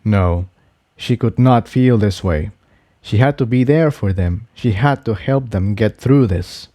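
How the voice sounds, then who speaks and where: A man reading scripted fiction aloud as an expressive story narrator. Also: fairly low pitch, unhurried, dry recording, studio quality.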